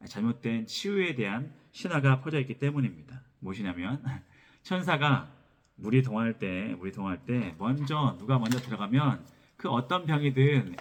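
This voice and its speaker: A man preaching an expository sermon as a pastor, repeating phrases as he explains, his throat sore from a cold. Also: mid-range voice. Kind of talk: expository sermon with scripture reading.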